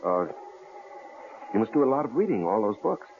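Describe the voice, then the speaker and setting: An actor playing a timid young husband in an old radio drama, speaking in halting, hesitant phrases. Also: male voice, lowish voice, big pitch swings. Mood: uneasy. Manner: hesitant, halting, full of uh's. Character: timid, polite young male engineer husband. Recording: tinny old radio broadcast, faint hiss.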